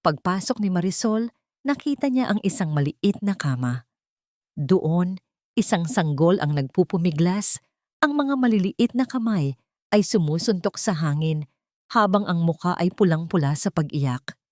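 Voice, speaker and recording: A female storyteller with a slightly low voice narrates a romantic drama from a script. Her delivery is solemn and emotive. The sound is close and dry, without room echo. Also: lively intonation, a little slow, a little mellow.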